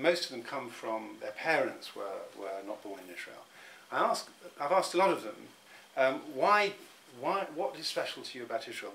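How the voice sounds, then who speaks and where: Thoughtful middle-aged Englishman, halting restarts. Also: mid-range voice, gravelly, pushed and bright, a little hiss or hum, low-quality recording. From England. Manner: thoughtful, many restarts and repeated words. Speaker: interviewee. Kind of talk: reflective personal interview.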